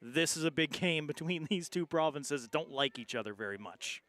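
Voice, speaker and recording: A man's voice: a little high, lively intonation, moderate pace, a bit bright. A man calling live play-by-play as a sports commentator.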